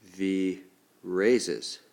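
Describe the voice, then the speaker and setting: Very deep-voiced congested male teacher. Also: creaky, a little mellow. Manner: slow, deliberate explaining while writing. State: congested, stuffed up. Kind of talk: recorded classroom lesson.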